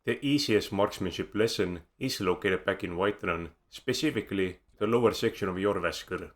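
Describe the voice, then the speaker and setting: A man with an Estonian accent reads a scripted game guide close to the mic. His voice sits very low, and his delivery is measured with a dry, wry edge. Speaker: video game guide narrator. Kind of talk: scripted voice-over narration.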